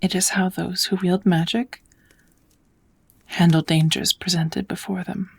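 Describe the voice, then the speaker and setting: A woman voicing a kind, patient witch in a soft, often whispered ASMR roleplay, teaching magic in a gentle, measured, slightly formal way. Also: lowish voice, level tone, vocal fry, husky, heavily acted. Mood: calm, patient.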